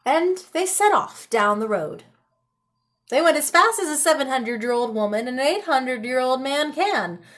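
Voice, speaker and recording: A woman reading a children's story aloud in a dramatic, expressive style with deliberate pauses. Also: high-pitched. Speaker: storybook reader. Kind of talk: animated children's read-aloud.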